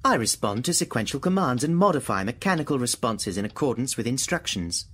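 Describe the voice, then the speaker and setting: An actor playing an aloof thinking machine in a radio drama, measured, precise and mocking. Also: male voice, medium pitch, very animated, fairly bright tone, breathy edge. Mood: coolly logical. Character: sentient thinking machine, aloof, taunting, coolly logical.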